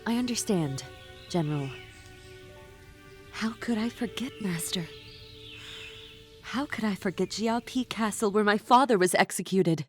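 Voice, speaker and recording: A woman's voice: medium pitch, expressive, unhurried, long pauses, over music, close to an everyday voice. A woman playing a solemn, dutiful, grieving young warrior in a fan motion comic, with restrained delivery. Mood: deferential. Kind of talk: fan motion comic acting, first-person narration.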